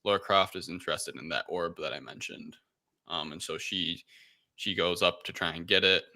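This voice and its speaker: Very deep, bright-voiced man hosting casually. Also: fairly even intonation, moderate pace. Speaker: podcast host. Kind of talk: casual film review discussion.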